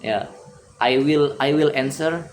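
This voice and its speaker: A young man whose first language is Indonesian, speaking English with an Indonesian accent as a student presenter, reading answers aloud and adding his own comments, halting and often repeating words. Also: a little high, a little hiss or hum.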